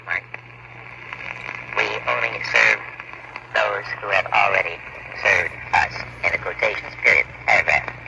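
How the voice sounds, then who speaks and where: A man dictating formal business letters in a halting way, speaking punctuation aloud, on a noisy old dictation record. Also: on the low side, gravelly.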